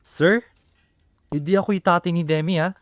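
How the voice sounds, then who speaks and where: A man's voice: high-pitched, fairly even intonation, normal speed, dry recording, natural voice. Man playing a prickly, protective Filipino big brother. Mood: stern, probing. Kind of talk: amateur radio drama acting.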